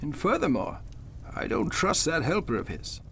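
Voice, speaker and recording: An older judge from an old-fashioned radio play, acted by a man. His voice sits high and husky and swings widely in pitch. He speaks formally and earnestly, as a gentleman of long standing. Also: huge pitch swings, moderate pace, exaggerated voice. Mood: suspicious, urgent. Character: genial but suspicious veteran male judge, gentlemanly. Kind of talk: old-time radio drama acting.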